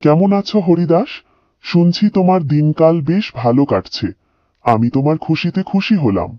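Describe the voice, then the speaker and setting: A man's voice: fairly high pitch, expressive, moderate pace, no echo, lo-fi sound, natural voice. A man playing a greedy, scheming village moneylender in a cartoon fairy tale, calculating and self-important. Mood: falsely friendly.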